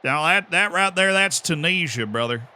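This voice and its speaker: An American man live-streaming a game, commenting excitedly, shouting at big moments and cracking jokes in between. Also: a little high, moderate pace. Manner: excitable, shouts at goals, jokey asides.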